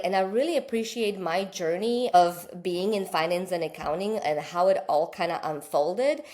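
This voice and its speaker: A woman from Slovakia, a wellness studio founder, speaking as a guest in a casual interview and telling her story earnestly. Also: on the low side.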